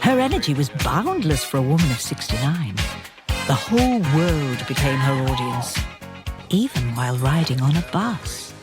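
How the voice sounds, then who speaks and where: A woman's voice: very deep voice, very animated, normal speed. An actress playing a loyal, wryly fond woman friend who narrates a radio play. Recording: music under the voice.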